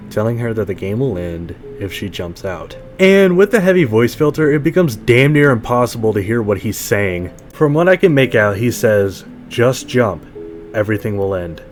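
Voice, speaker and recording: Clear music runs behind a man with a fairly low voice. He delivers a scripted story recap as a podcast host, dropping in casual, wry commentary along the way. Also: animated, normal speed. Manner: informal, wry asides.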